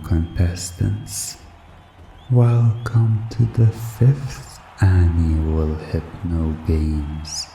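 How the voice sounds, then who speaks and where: A man playing a commanding, ceremonial announcer of sinister games in a hypnosis audio drama, grand and booming. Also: mellow, roomy sound, exaggerated voice, poor audio.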